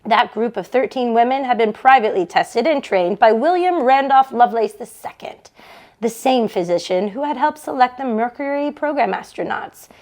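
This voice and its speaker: Woman w a slightly high voice reading a book out loud for a book club, pretty expressive. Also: moderate pace. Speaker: book club read-along host for a bookstore. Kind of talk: reading a novel aloud to an online audience.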